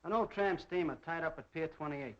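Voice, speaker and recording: Actor as high-pitched, excitable spy henchman. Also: a little fast, lightly acted. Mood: urgent, worried. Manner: urgent, short sentences. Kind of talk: 1930s film acting. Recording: dull 1930s film soundtrack.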